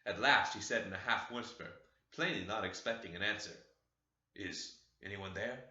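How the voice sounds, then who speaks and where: A man's voice: on the low side, a little fast, bright, forceful tone, echoey room, highs cut off. A man narrating a classic novel as an audiobook, reading dramatically.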